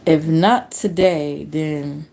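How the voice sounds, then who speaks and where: A woman in her late forties chatting casually on a live stream, telling stories in a relaxed, slangy way. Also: low-pitched, very slow, vocal fry. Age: 40s. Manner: relaxed storytelling with slang and laughs.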